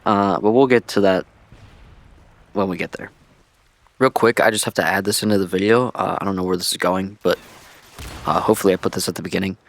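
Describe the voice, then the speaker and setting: Guy with a lowish voice doing chill rambly gaming youtube commentary, old headset mic sound. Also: steady tone, fairly quick, creaky, long pauses, no room sound. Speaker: video creator and streamer. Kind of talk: casual gaming vlog commentary. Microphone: headset-style microphone, deliberately old-school sound.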